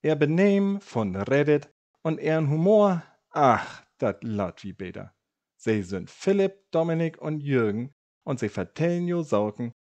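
A man reading a listener's podcast intro in a Low German dialect. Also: darkish tone.

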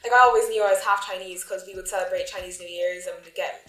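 A woman's voice: medium pitch, fairly even intonation, quick pace, fairly bright tone, faint music behind. A young woman talks through her thoughts, trailing through "like" fillers. Her voice sits mid-height. The studio around her rings a little. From Ireland, County Cork. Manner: reflective, many "like" fillers. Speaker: interviewee. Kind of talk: casual discussion. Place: studio with plain backdrop. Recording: roomy studio echo.

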